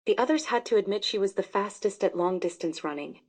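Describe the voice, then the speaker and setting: A woman narrating a children's story about bunnies in a plain, even reading. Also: mid-range voice, a little fast.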